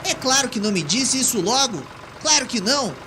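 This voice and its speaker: A woman's voice: a little high, lively intonation, brisk, sharp tone, a little hiss or hum, voice pushed up. A woman voicing an exasperated, stubborn, sarcastic male homeowner with an indignant manner in an audiobook drama. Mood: incredulous, indignant. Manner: indignant, sardonic. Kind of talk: audiobook character dialogue.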